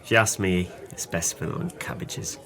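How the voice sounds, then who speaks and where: A low-pitched, merry drunken seaman in an audio drama. He speaks in grand, flowery phrases and boasts heartily, like a penniless man delighted with himself. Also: fairly even intonation, normal speed, sharp tone. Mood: cheerful, opinionated. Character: jovial, tipsy, impoverished former sailor, florid and boastful. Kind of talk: audio play acting.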